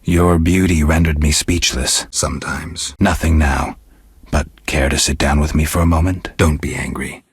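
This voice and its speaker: A man playing a stoic, world-weary middle-aged monster hunter in a video game, speaking in a gravelly, husky voice with dry, laconic restraint. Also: extremely low pitch, fairly even intonation, moderate pace, no echo, exaggerated voice. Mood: dry, calm. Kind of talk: scripted video game character dialogue.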